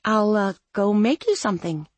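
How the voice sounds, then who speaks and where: A woman's voice: mid-range voice, big pitch swings, normal speed, dry recording, voice pushed up. A woman voicing a friendly, helpful young female housemate with sing-song politeness in an audiobook. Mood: puzzled.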